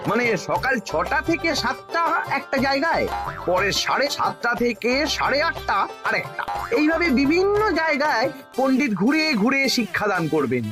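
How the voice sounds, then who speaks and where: Wheedling court minister. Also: male voice, very high-pitched, sing-song, moderate pace, over music. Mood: scheming. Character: scheming male royal minister, wheedling. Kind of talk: cartoon voice acting.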